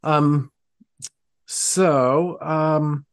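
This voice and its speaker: A man's voice: fairly high pitch, fairly even intonation, extremely slow, clean tone. Rambling male podcast host. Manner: rambling, full of ums and restarts. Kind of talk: casual chat.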